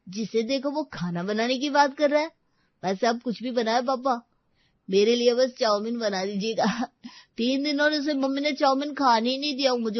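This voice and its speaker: Woman doing a high, whiny little schoolboy. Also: dry recording, lo-fi sound. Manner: pleading, childish drawl. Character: whiny, food-loving Indian schoolboy.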